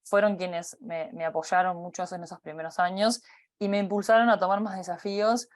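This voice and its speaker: Hesitant Uruguayan woman, lowish voice. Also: fairly even intonation, normal speed. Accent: Uruguayan Spanish. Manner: hesitant, many fillers and restarts. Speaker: company partner giving a talk. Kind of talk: personal career talk.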